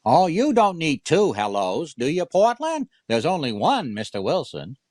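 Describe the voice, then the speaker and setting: A man acts as a wisecracking old-time radio comedian. His delivery is deadpan and sardonic, with animated pitch movement and a very dry sound. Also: unhurried, no echo. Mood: dry, teasing. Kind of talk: 1940s radio comedy acting.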